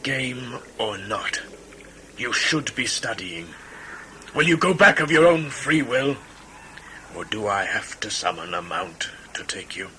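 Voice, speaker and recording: Man acting a stern, very forceful hunter father. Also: fairly low pitch, sing-song, slight background noise, exaggerated voice, poor audio. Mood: dismissive, stern. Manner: measured, admonishing, formal. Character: stern, dignified hunter father of a priestess-in-training. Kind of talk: sci-fi audio play acting.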